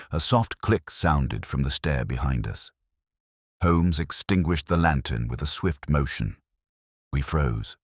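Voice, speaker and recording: A man narrating a scripted mystery story as an audiobook narrator, in a measured, solemn way. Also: very deep voice, no room sound, studio quality.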